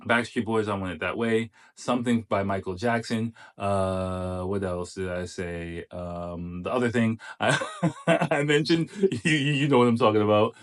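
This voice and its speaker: A man with a low, bass-heavy voice talks through dating advice in a loose, coaching manner. He pauses on fillers and speaks directly to the viewer. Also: steady tone. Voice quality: deep bass voice. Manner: chatty, coaching, many fillers. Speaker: host giving dating advice. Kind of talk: casual commentary on a clip.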